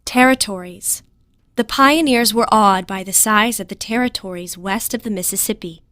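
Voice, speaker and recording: A woman's voice: mid-range voice, moderate pace, bright, forceful tone, no room sound, studio quality. A woman reading words, example sentences and definitions in a scripted educational style. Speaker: vocabulary lesson narrator.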